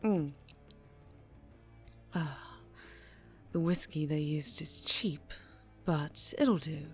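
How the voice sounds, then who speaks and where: A woman acting as a slow, low-voiced female mafia boss. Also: a little mellow, faint music behind, heavily acted. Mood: teasing, probing. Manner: slow, deliberate, polite with veiled menace. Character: dangerously charming, teasing female mafia boss. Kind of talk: ASMR roleplay acting.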